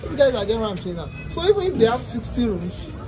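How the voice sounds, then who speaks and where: A man's voice: very high-pitched, very animated, fast talker, crowd noise. A man in his thirties with a Nigerian accent, giving an informal technical lesson to trainees, explaining step by step, repeating himself and often asking check questions. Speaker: technical instructor. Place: open-fronted shop, semi-outdoors. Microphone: phone on desk at short distance. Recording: busy street noise, music in background.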